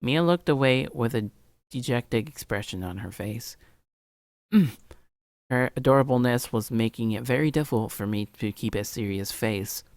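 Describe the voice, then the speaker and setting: A mid-pitched man reads visual novel narration aloud for a livestream, with music behind him. He stumbles and rereads phrases, keeping a casual streamer's delivery. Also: normal speed, dry recording, studio quality. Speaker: livestreamer doing a let's play. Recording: low-quality stream audio, music behind.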